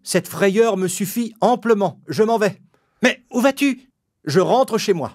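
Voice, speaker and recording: A man voicing a small, excitable, expressive rat in a theatrical manner for a children's cartoon fable. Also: high voice, a little slow. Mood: resolute. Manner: exclamatory, theatrical.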